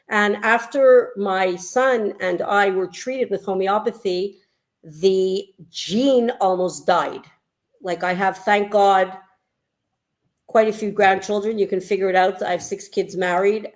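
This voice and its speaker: An older woman with a Canadian accent speaks over a video call in easy, even-pitched conversation. She tells her story with enthusiasm, leaning on repeated words for emphasis. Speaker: homeopath guest. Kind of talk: casual chat.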